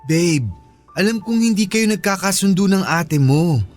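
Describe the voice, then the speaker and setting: High-voiced, pleading young boyfriend. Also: male voice, lively intonation, measured pace, faint music behind. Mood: placating, upset. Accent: Filipino. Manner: placating, pleading. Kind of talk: Filipino radio drama acting.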